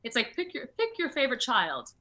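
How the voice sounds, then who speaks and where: A woman chatting casually and informally as an actor guest in an interview, in an animated, conversational way. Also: on the high side, brisk. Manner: animated, chatty, informal with frequent "like" and "you know". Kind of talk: casual video interview chat. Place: at home, indoors on a video call.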